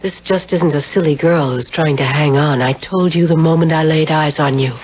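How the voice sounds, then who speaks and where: A woman's voice: deep voice, normal speed, husky. An actress playing an intense married woman who clings fatalistically to her lover, with dramatic, insistent delivery in a radio drama. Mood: fatalistic, insistent. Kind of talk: 1970s radio drama acting. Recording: muffled old radio broadcast, faint noise.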